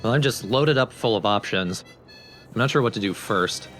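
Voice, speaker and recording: A man's voice: fairly low pitch, moderate pace, fairly bright tone. A man hosting a let's play, explaining the game in casual commentary. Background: video game music.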